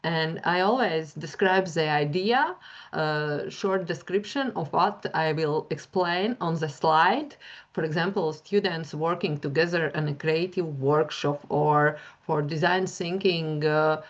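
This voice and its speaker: Latvian-accented woman lecturer, slow and halting with frequent fillers, on dull lo-fi audio. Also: sits low. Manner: hesitant, many fillers, searching for words. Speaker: university lecturer. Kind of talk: webinar presentation, screen-share demo.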